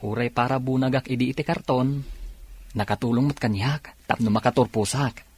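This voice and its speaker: A man's voice: medium pitch, fairly even intonation, moderate pace, a little mellow, slightly rough, close to an everyday voice. A young man playing a cheerful, grateful eldest son and new policeman in a radio drama, good-natured and sincere. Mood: encouraging.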